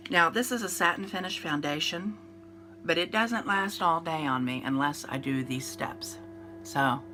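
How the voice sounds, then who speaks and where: A woman's voice: sits low, background noise. A woman in her fifties with a nasal, congested voice, chatting through a makeup routine in a rambling, casual way. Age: 50s. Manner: chatty, rambling, with fillers and restarts. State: congested from a cold. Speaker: beauty vlogger. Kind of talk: casual makeup tutorial chat.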